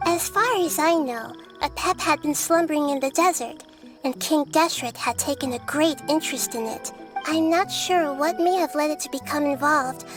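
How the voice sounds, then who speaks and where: Woman as soft high-voiced young goddess, calm and thoughtful, faint music behind. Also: lightly acted. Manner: calm, thoughtful, explaining lore. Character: young-sounding gentle wise goddess girl. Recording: game audio with faint background music.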